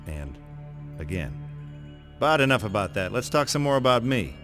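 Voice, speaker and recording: An American man playing a cocky, sarcastic, hard-boiled monster slayer in a video game, with deadpan swagger. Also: fairly low pitch, moderate pace, soft background music. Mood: smug, wry. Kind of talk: video game voice acting, wry narration.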